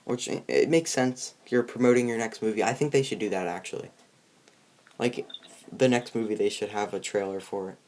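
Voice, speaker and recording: A man's voice: lowish voice, normal speed, darkish tone. A man hosting a casual movie discussion, rambling and often stumbling over his words as he talks. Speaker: host of a movie review channel.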